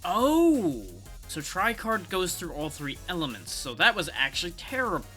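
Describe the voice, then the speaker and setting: A man's voice: high-pitched, sing-song, moderate pace, bright, forceful tone. A man giving casual live commentary on a video game, talking to himself in a wry way. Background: video game music.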